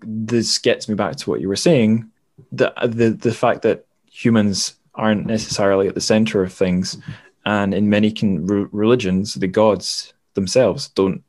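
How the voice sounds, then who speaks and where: Male podcast host, lower register, informal literary chat, halting delivery as he thinks aloud. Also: fairly even intonation, darkish tone. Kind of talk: casual book discussion.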